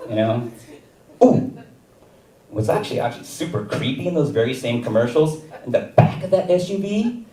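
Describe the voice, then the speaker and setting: A man performing a stand-up comedy set, with a wry, deadpan delivery and chuckles between jokes. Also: mid-range voice, normal speed, pauses often, far from the mic. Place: comedy theater stage. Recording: roomy live stage mic from afar.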